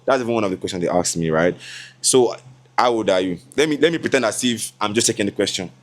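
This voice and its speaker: A young Nigerian man in his twenties chats to his viewers in a loose, laughing vlog voice. His tone sits a little low for a man. Now and then he slips into Yoruba asides. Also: expressive, fast. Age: 20s. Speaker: content creator. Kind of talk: casual vlog talk to camera.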